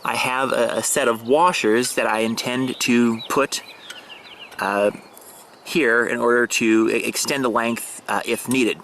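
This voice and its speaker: A man giving a casual, halting show-and-tell of a hobby setup, pausing and restarting as he explains. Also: mid-range voice, fairly even intonation, fairly bright tone, a little hiss or hum. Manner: hesitant, halting, fragmented delivery. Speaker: amateur radio hobbyist demonstrating his setup. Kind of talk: casual demonstration vlog. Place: outdoors and in a parked vehicle in a residential neighborhood.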